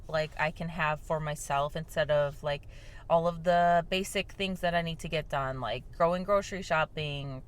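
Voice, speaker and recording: A young woman hosting a casual vlog, chatting softly with lots of fillers while sipping a drink, her voice slightly hoarse. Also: fairly low pitch. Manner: talking softly on purpose, filler-heavy and rambling. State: slightly hoarse from shouting the day before. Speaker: vlog host. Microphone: mic without windscreen.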